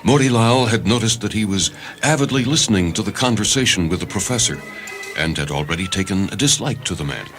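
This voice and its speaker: Male story reader, low voice, expressive range, dramatic narration with music beneath. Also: brisk. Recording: music under the voice. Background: background music.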